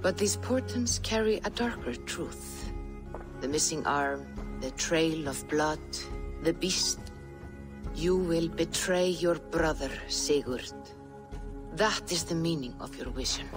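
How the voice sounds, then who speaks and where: A solemn Norse seeress in a fantasy game. She talks very slowly, with oracular weight and a portentous manner, while faint music plays behind her. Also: low-pitched, lively intonation. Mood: solemn, foreboding. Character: solemn, mystical female Norse seer. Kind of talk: dramatic video game cutscene acting.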